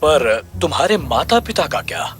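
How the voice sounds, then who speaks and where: A man's voice: medium pitch, wildly swooping pitch, normal speed, soft background music. A man voicing a gallant, courteous young prince in a fairy tale, speaking in an earnest, courtly way. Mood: courteous, eager. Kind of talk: audio fairy tale voice acting.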